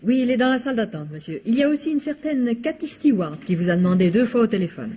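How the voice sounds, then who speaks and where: An actress playing a polite, efficient secretary, prompt and deferential, in a French radio crime drama. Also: female voice, fairly high pitch, sing-song, quick pace, dark tone, husky.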